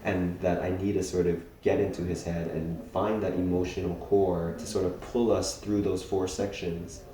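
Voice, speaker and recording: A young man from Hawaii, a filmmaker being interviewed, speaking thoughtfully with many pauses and restarts. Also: low voice, little pitch movement, warm tone. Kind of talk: casual interview talk. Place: festival venue indoors. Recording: very echoey room.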